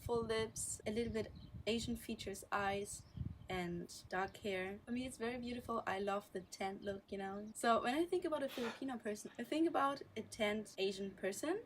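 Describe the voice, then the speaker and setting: Woman, enthusiastic and friendly, slightly high voice, clear background noise. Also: normal speed. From half German, half Spanish. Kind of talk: recorded video answer.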